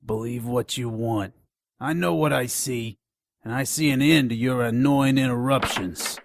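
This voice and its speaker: A man's voice: medium pitch, a little slow, creaky, dry recording. A man playing a crude, taunting nonhuman pawn shop dealer in an audio drama. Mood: taunting, ominous. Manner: taunting, contemptuous.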